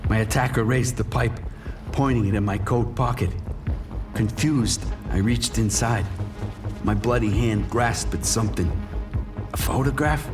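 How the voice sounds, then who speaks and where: A man's voice: on the low side, unhurried, recorded from a distance, natural voice. A man acting a desperate wounded dealer over music. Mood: grim, tense. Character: wounded male drug dealer, desperate, proud, defiant. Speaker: actor narrating a crime audio drama. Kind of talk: first-person noir crime audio drama narration. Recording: studio voice under prominent music.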